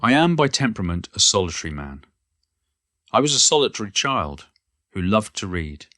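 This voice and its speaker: Elderly poet, lowish voice, modest, wry, reflective reminiscence, audio monologue, close dry sound. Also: male voice, very animated, normal speed, sharp tone, no room sound, soft background music. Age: older. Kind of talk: reflective first-person monologue, read from a book.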